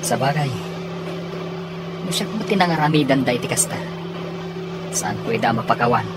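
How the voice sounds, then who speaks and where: An actor playing a loyal, supportive buddy in a radio drama, with an Ilocano accent. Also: male voice, medium pitch, expressive, moderate pace, very noisy, natural voice. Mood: agreeable, loyal. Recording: loud music and car sound behind.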